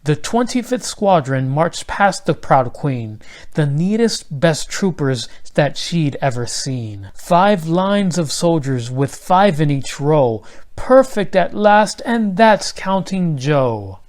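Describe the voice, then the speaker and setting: A man narrating a children's rhyming storybook aloud in a measured delivery. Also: a little high, sing-song, a little mellow.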